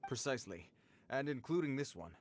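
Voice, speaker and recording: A man's voice: mid-range voice, expressive, a little fast, slight background noise, natural voice. An actor playing an earnest, cautious patrolman in a video game dub, formal and a little hesitant. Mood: flustered. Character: earnest, cautious patrolman, flustered around children.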